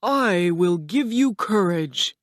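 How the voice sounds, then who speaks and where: Woman as a slow, imperious fiery wizard. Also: expressive, dry recording, clean studio sound. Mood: commanding. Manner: imperious, booming. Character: terrible great wizard as a roaring ball of fire, commanding.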